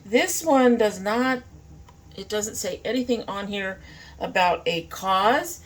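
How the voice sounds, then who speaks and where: A woman's voice: medium pitch, animated, takes it slowly. A woman talking informally to camera as a beauty vlogger, thinking aloud in a casual makeup review.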